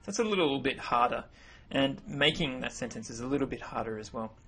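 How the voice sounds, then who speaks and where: A man whose first language is English, explaining grammar over slides in a relaxed voice-over, often repeating himself as he goes. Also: medium pitch, fairly quick, slight background noise. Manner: relaxed, self-correcting explanations. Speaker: language teacher. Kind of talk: narrated slideshow lesson.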